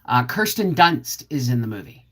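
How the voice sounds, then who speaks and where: Middle-aged woman, low voice that swoops around a lot, chatty live stream host rambling on. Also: very animated, moderate pace, fairly bright tone. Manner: chatty, rambling, answers viewer comments. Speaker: homestead channel host. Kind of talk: casual live-stream chat.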